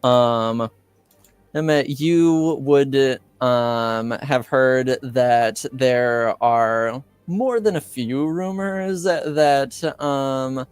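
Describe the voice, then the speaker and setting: A man improvising as game master in a tabletop roleplaying game, hesitant and halting as he searches for words. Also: medium pitch, clear, resonant tone, dry recording, faint music behind.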